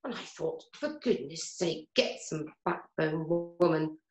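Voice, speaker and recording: A woman's voice: low voice, lively intonation, unhurried, darkish tone. An actress playing a chatty, fussing, irritable middle-aged mother in a radio play, gossipy and exasperated. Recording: roomy, echoing sound.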